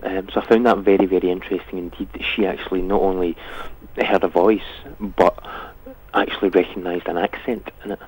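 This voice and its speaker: Really low husky guy, guest, lots of ums, hesitant. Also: little pitch movement, a little fast, a little mellow, poor audio. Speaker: guest researcher. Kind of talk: interview answers.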